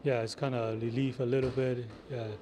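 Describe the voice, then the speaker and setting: Flat-voiced man, crowd behind. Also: mid-range voice, brisk. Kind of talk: halting interview answers. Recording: clear crowd chatter behind.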